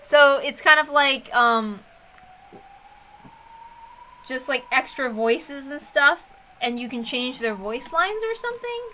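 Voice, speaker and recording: A woman streamer with a high, bright voice reads a visual novel aloud. Off script she chats casually and playfully, in a giggly, excitable way. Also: slow, long pauses, a little hiss or hum. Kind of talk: casual livestream read-aloud with playful reactions.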